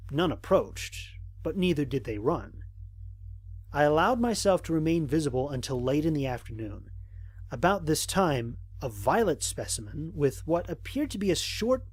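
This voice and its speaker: A man playing an observant human space explorer, narrating scripted mission logs in a formal, measured, descriptive way with a slightly husky voice. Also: a little high, darkish tone. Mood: measured, wary. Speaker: voice actor narrating an audio drama. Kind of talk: scripted first-person narration, mission log.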